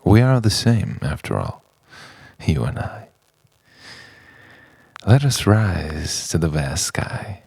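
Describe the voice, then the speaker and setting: A man delivers an introspective spoken-word piece in a low, creaky voice. He moves slowly through halting, poetic phrases and questions, with pitch that swings widely from line to line. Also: very animated, darkish tone, no echo. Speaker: narrator of a personal art film.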